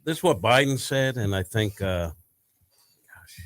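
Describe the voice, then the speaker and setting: A man's voice: fairly low pitch, animated, slow, fairly bright tone, dry recording. A middle-aged man co-hosting a talk show, explaining his opinions at length. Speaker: co-host. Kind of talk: casual sports talk chat.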